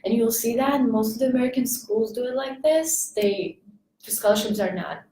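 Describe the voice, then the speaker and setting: A woman's voice: fairly high pitch, moderate pace, warm tone. Young woman from Slovakia, student panelist, filler-heavy casual talk, distant mic. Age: young adult. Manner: chatty, many fillers. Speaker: admissions intern. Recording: echoey room, off-mic.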